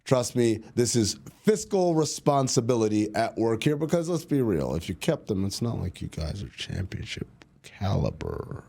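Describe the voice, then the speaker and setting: A man's voice: on the low side, sing-song, normal speed, gravelly, warm tone, breathy edge. A man co-hosting a basketball podcast, chatting casually and teasing his co-host while he explains team finances in plain terms. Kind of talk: casual sports chat.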